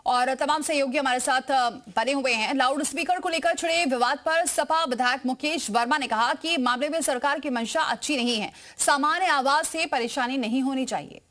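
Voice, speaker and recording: ऊँची आवाज़ वाली महिला न्यूज़ एंकर. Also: female voice, high voice, moderate pace, hardly any pauses, fairly bright tone. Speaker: news anchor. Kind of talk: live news anchoring, questioning reporters.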